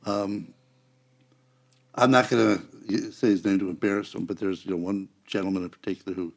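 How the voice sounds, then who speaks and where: An American man with a husky, gravelly voice, talking casually in a group conversation. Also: deep voice, fairly even intonation, brisk.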